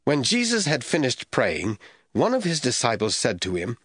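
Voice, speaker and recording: Animated male scripture narrator, dry recording. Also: medium pitch, moderate pace, no echo.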